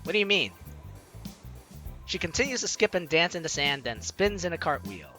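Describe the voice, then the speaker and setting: Young guy doing a let's play, super animated n bright, reads lines theatrically, music in back. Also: on the high side, moderate pace. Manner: playful, theatrical, jokey reactions. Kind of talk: animated read-aloud game commentary.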